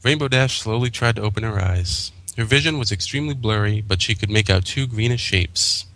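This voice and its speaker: A man's voice: fairly low pitch, fairly quick, creaky, fairly bright tone, low-quality recording. A man reading scripted story narration as a fan fiction reader.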